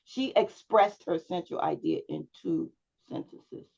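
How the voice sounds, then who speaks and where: A woman's voice: fairly low pitch, a little slow, vocal fry, a little mellow, breathy edge, highs cut off. A woman public speaking instructor giving one-on-one feedback to a student over a video call, often checking in with "right?". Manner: coaching, checks understanding with frequent "right?".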